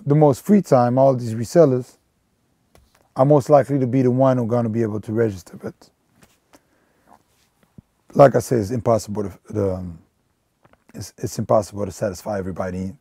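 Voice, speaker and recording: A man's voice: medium pitch, steady tone, brisk, pauses often, mellow, no echo. A man in his thirties from Belgium, a sneaker store manager, talking casually in a rambling way with lots of fillers and restarts. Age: 30s. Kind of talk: casual documentary interview.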